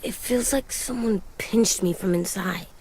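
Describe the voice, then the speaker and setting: A woman playing an earnest, brooding young boy survivor in a video game drama, speaking haltingly in plain words with a childlike, husky voice. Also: mid-range voice, darkish tone, rough recording. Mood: pained. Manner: halting, slow, plain-spoken.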